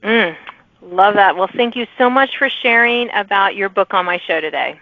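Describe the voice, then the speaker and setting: A woman podcast host with a medium-pitched voice, moving between prepared questions and casual chat. The recording is very dry and thin, like a phone line. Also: normal speed, no room sound. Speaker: podcast host interviewing authors.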